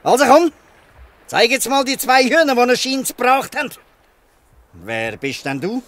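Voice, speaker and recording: Radio play casting: old Swiss German fisherman, gruff and blustering, high-pitched, bright and forceful. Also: sing-song, measured pace, dry recording, full character voice. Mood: sceptical, then softening. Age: older. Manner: blustering, ends taunts with a questioning "hä". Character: gruff old fisherman, scolding, shrewd, softening. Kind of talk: dialect radio play acting.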